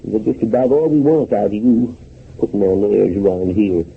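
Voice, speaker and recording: A husky, creaky old country codger. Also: male voice, lowish voice, normal speed, full character voice. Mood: grumbling, scornful. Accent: rural American. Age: older. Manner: hesitant, drawling, countrified grammar. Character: folksy old codger shopkeeper, obtuse and cranky. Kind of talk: 1930s radio comedy acting. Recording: muffled 1930s radio recording.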